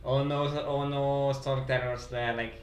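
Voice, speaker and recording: A man giving casual live commentary on a game as a streamer, haltingly repeating phrases as he thinks aloud. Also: medium pitch, level tone, a little hiss or hum. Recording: roomy sound with game music. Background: video game music.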